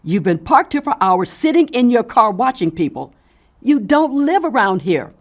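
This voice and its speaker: Bossy female neighbour, animated intonation, indignant, insistent, officious, audio fiction. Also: a little high, normal speed.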